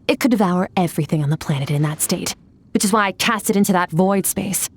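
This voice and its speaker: A woman's voice: lowish voice, quick pace, slight background noise, close to an everyday voice. A woman playing an aloof, blunt, battle-hardened swordswoman in a video game, detached and matter-of-fact. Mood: detached, grave.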